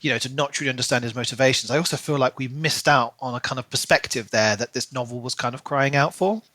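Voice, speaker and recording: A man's voice: medium pitch, fast, bright, forceful tone, no echo. Male podcast co-host for book talk, mid-range voice, very dry recording. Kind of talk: book discussion podcast, reading a plot recap then casual chat.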